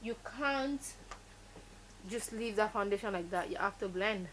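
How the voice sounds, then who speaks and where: A woman's voice: mid-range voice, measured pace, fairly bright tone, slight background noise. Woman teaching makeup. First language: Yoruba. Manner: instructive, emphatic, repeats key words. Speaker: makeup tutorial host. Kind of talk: step-by-step beauty tutorial.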